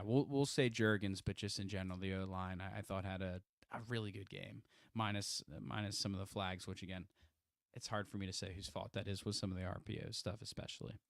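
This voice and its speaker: A young man co-hosting a sports podcast, talking in a casual, rambling way with frequent restarts and bursts of excitement. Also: on the low side, quick pace, gravelly, no echo, clean studio sound. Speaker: podcast co-host.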